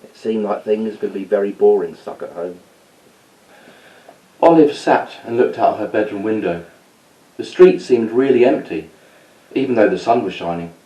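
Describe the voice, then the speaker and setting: Guy reading a kids story, lowish voice, sounds a bit away from the mic. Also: normal speed, long pauses, dark tone, far from the mic, rough recording. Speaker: storyteller, school staff reader. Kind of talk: children's story read-aloud.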